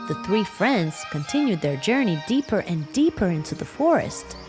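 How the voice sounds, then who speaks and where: A woman narrating a children's bedtime story, telling it warmly and addressing the listeners directly. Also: mid-range voice, very animated, normal speed. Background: music.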